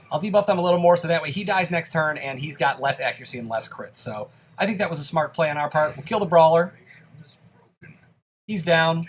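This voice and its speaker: A man's voice: on the high side, husky. A young man giving casual, self-deprecating play-by-play commentary on a dungeon game while a bit sick. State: slightly sick, occasional coughs. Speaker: gaming YouTuber.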